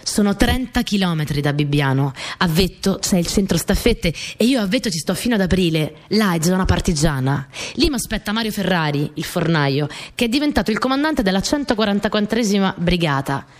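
A woman's voice: on the low side, lively intonation, brisk, few pauses, lightly acted. Woman acting a spirited young partisan courier girl. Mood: reflective. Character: spirited young Italian partisan courier girl telling her wartime story. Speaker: actress in a radio play. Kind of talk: dramatic first-person monologue for radio theatre.

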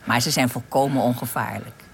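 A woman's voice: rumbling low, fairly even intonation, brisk, vocal fry, slight background noise. A woman playing a friendly, self-assured young alien spacewoman in a radio drama, polite and easily amused. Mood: amused, helpful.

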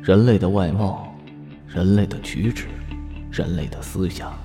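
Low ominous guy narrator, brooding trailer read, music behind him. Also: dark tone. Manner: brooding, deliberate.

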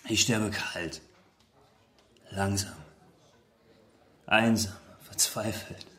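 An actor playing a despairing, drunk man in a radio play, mournful and resigned, weary of life. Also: on the low side. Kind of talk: German radio play acting.

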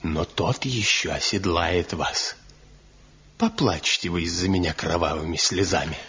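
A man's voice: low voice, measured pace, bright, forceful tone, husky, heavily acted. An actor playing a bitter, haggard, jealous master craftsman in a 1970s radio play, sullen and sarcastic. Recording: dull lo-fi old radio recording.

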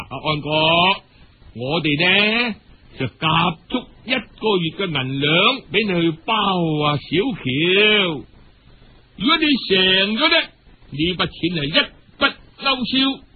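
Man acting a shrewd, husky Cantonese workmate. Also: sits high, narrow, tinny audio, full character voice.

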